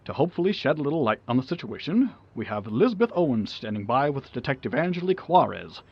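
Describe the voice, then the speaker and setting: Audio drama: male actor for earnest, emphatic anchor, slightly rough voice, dull broadcast sound. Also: mid-range voice, sing-song, quick pace. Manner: formal, emphatic anchor delivery.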